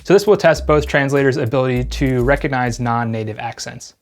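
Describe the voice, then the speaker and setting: Calm man explaining a gadget review. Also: medium pitch, brisk. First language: English. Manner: calm, explanatory, even-handed. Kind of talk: scripted tech review narration.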